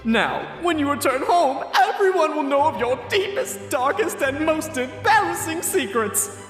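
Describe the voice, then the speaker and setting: A smug villainous aristocrat in a cartoon parody. The voice is high and very animated, drawing out each taunt theatrically, with music playing clearly behind it. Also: female voice. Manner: taunting, drawn-out theatrical delivery. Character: gloating, mocking villainous noble rival. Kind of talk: comedic fan-dub voice acting.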